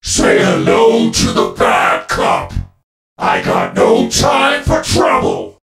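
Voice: rumbling low, completely flat delivery, slow pace, gravelly, reverberant, far from the mic, loud music behind the voice, heavily acted. An actor voicing a stern, threatening bad-cop robot law enforcer with a hard-edged, commanding delivery for a video game. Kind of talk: video game character voice lines.

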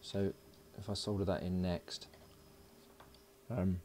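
A man casually talking through hands-on electronics work, unhurried and thinking aloud. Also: low voice, level tone, darkish tone, slight background noise. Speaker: host of an electronics build video. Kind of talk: casual hands-on tutorial narration. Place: indoors at a workbench.

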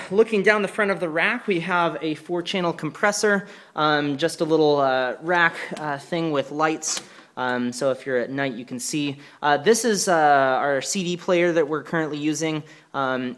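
A man presenting equipment in an informal hands-on walkthrough, speaking hesitantly and pausing mid-sentence. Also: on the high side, a bit bright. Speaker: presenter demonstrating church sound equipment. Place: carpeted indoor room. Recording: clear background noise, handheld camera mic.

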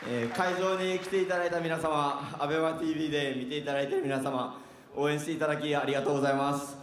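A man in his twenties speaks from the ring into a handheld mic. He is formal and talks very quickly in a slightly high voice. The arena is echoey around him. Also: steady tone, a faint crowd. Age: 20s. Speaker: kickboxer. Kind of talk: post-fight thank-you speech in the ring. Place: boxing ring in a large indoor arena. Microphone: handheld microphone. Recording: echoey arena PA, faint noise.

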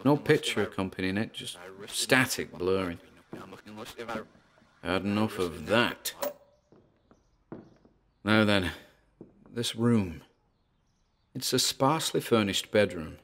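A man's voice: on the low side, animated, takes it slowly, pauses often, fairly bright tone, exaggerated voice. A man playing an anxious paranormal investigator recording himself in a horror audio drama. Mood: uneasy, muttering.

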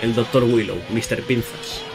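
A man's voice: mid-range voice, fairly even intonation, moderate pace. Chatty man commentating gameplay over music. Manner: chatty, informal, addresses viewers often, playful exclamations. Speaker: gaming YouTuber. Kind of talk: casual video game commentary to viewers. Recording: music under the voice.

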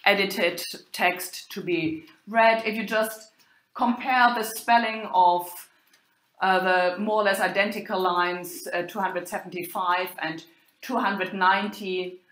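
Slow, halting German-accented woman lecturer. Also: medium pitch, fairly even intonation, a bit bright. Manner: halting, hesitant delivery with many pauses. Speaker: university lecturer in medieval German literature. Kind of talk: informal academic lecture with quoted text. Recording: roomy, echoing sound.

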